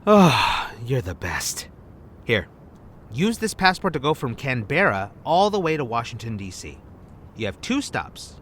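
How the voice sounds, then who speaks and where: A man's voice: medium pitch, fairly bright tone, slight background noise. An actor playing a smug, teasing male secret agent in an anime-style audio drama. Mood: teasing, confident. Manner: teasing, cocky.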